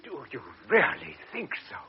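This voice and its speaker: Brief: frail older father, trembling stammer, lively rising pleas, dull hissy radio tape. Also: fairly high pitch, moderate pace, husky, full character voice. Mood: pleading. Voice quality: trembling, stuttering. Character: frail old man, anxious father. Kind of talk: radio drama acting. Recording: dull old radio recording with hiss.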